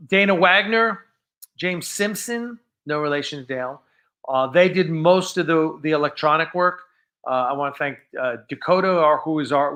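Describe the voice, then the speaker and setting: Man, livestream host, higher-pitched bright voice, casual chat, enthusiastic, rambling delivery. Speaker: live stream host. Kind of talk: casual unscripted live stream chat.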